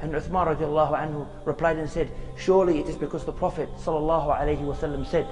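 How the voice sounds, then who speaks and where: High-voiced man preaching earnestly. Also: fairly even intonation, a little mellow, big-room sound, faint music behind. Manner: earnest, slow, with Arabic recitation chanted. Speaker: preacher giving a short reminder. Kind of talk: earnest sermon to camera. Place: outdoors in a graveyard.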